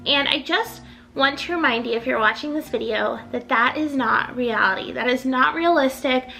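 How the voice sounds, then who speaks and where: A woman's voice: high-pitched, moderate pace, creaky, sharp tone, a little hiss or hum, low-quality recording. A young adult woman chatting in a rambling, upbeat way as a home decor vlogger, her voice a little off while she gets over being sick. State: recovering from illness, voice a little off. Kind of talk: casual chatty vlog.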